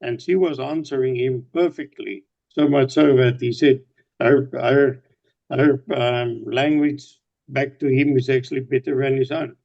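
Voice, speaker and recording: A man's voice: medium pitch, steady tone, unhurried, gravelly. A man whose first language is Afrikaans, talking casually with many fillers and restarts. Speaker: participant sharing ideas. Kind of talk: casual discussion. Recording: dull lo-fi sound.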